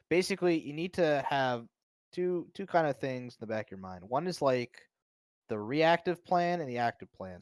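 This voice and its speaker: A man coaching players through a replay of their match, explaining at length in a relaxed way with many fillers. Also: on the low side, no echo. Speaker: coach reviewing match footage. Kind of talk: casual spoken game review.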